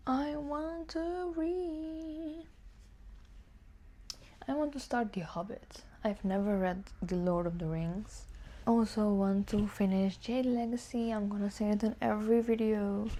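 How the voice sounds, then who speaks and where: A woman's voice: a little high, steady tone, measured pace, mellow. A woman book vlogger chatting casually and informally to camera, musing aloud with some hesitation. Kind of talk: casual chat to camera, answering tag questions.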